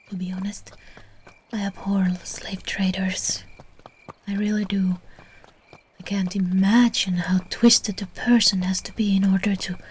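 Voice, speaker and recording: A woman playing a soothing, genteel yet possessive vampire in a breathy, whispered ASMR roleplay. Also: medium pitch, slight background noise, close to an everyday voice. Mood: candid, gentle. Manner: slow, gentle, genteel endearments.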